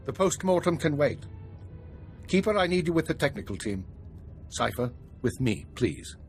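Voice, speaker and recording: A man playing a weary, principled older intelligence minister in a video game, speaking in a measured, formal way. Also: on the high side, sing-song, faint music behind. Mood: brisk. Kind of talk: scripted video game dialogue.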